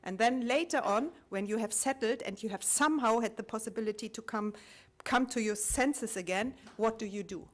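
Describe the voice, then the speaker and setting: A woman giving a public talk, reflective and halting, posing rhetorical questions and restarting her words often. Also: on the high side. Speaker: conference speaker. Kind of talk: public talk sharing collected testimonies.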